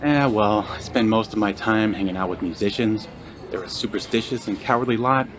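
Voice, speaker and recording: A man's voice: on the low side, brisk, noisy background, natural voice. A man playing a suspicious, sarcastic insomniac musician in a naturalistic audio drama, blustering and then hesitant. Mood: evasive, casual.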